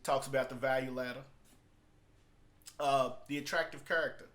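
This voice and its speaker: A man's voice: medium pitch, steady tone, normal speed, fairly bright tone. A man talking casually and off the cuff as he reviews and explains, in an emphatic, repetitive, colloquial way. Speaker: vlogger reviewing a business book.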